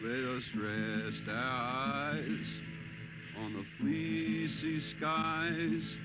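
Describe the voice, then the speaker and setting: A man singing a ballad with drawn-out phrasing. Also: mid-range voice, over loud music. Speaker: singer. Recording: muffled old radio broadcast.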